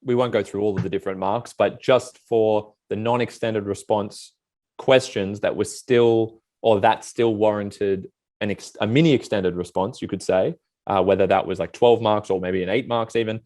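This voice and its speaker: A man's voice: on the low side, fairly even intonation, normal speed, darkish tone. A man asking questions in a casual, conversational way as an interviewer.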